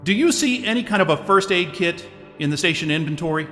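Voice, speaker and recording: A blunt, swearing man on edge, with a high, bright and forceful voice. His lines ring with a long reverb, and music plays softly behind. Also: animated, quick pace. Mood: strained. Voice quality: blunt and profane. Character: stressed isolated man, grumpy, blunt, swearing. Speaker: lead character. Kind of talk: audio drama acting.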